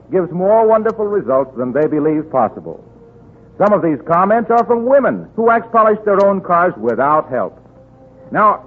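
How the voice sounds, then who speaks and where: A man's voice: on the high side, a little hiss or hum. An American man reading scripted copy as a 1930s radio announcer. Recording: tinny 1930s radio broadcast recording.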